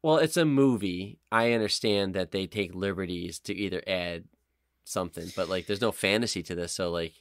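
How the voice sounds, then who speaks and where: Man, lowish pitch, crisp clear voice, casual podcast co-host, thinks aloud, swears freely. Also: normal speed, dry recording. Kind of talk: casual chat, guessing game among friends.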